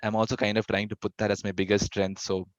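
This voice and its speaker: A young man with a stutter speaks in a fairly low voice, restarting his sentences as he answers an interviewer. The audio is dry and a bit dull, with no room sound. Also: level tone, quick pace, no echo. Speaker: interviewee, fest founder.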